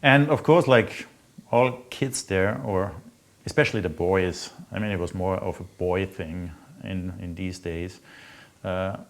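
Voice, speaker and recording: A middle-aged man from Austria with an Austrian accent, a native German speaker, telling his life story off the cuff in a halting, reflective way. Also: deep voice. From Austria, Salzburg province. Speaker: interviewee recounting own life. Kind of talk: unscripted personal storytelling.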